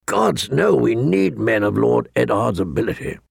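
A man narrating an audiobook, voicing a gruff, grumbling, weary old lord commander with a growling, rumbling voice. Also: big pitch swings, moderate pace, no echo, clean studio sound, full character voice. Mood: grave, measured. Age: older.

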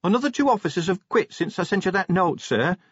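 Man putting on a high, nervous dwarf sergeant. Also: big pitch swings, a little fast, fairly bright tone, slightly rough, dry recording, lo-fi sound, heavily acted. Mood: hesitant, dutiful. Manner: hesitant, deferential.

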